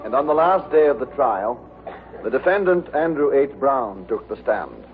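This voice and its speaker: A man's voice: medium pitch, very animated, background noise. Tinny old radio male narrator. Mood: storytelling. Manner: measured storytelling. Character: male storyteller recounting a comic trial. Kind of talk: scripted narration, old-time radio sitcom.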